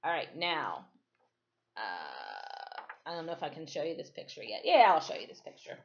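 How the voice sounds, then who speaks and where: A woman teacher reading a storybook aloud in a deliberate, dramatic way, with explanatory asides and checking questions. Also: low-pitched, wildly swooping pitch, gravelly, background noise. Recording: dull, lo-fi sound.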